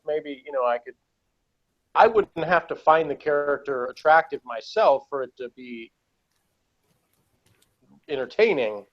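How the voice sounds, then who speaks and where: Man, slightly high voice, blunt opinions, casual review chat, slightly muffled lo-fi sound. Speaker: podcast reviewer.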